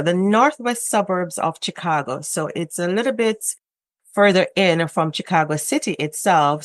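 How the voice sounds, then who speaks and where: Low-voiced Jamaican woman in an interview. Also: no echo. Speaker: guest, home childcare educator. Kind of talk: casual interview talk.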